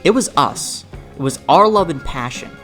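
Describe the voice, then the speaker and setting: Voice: medium pitch, expressive, normal speed, fairly bright tone, faint music behind. A young adult narrating a scripted video essay in an earnest, emphatic way.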